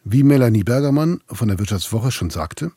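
A man's voice: mid-range voice, lively intonation, moderate pace, dark tone, slightly rough, dry recording, studio quality. A man narrating a scripted investigative podcast as its reporter and host.

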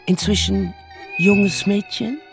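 A woman's voice: mid-range voice, takes it slowly, vocal fry. A woman narrating a literary radio play from a script, telling the story as a storyteller. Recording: loud music behind the narration.

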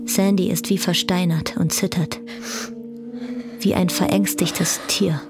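Woman narrating a radio play, pretty flat intonation, lots of fry, music playing behind her. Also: lowish voice, slow pace. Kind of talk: scripted radio drama narration.

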